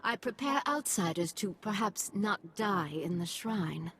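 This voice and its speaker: An actress playing a cryptic, solemn alien guide in a video game, speaking in terse, aloof phrases with a husky voice. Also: female voice, medium pitch, lively intonation, unhurried, fairly bright tone, heavily acted. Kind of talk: video game cutscene acting.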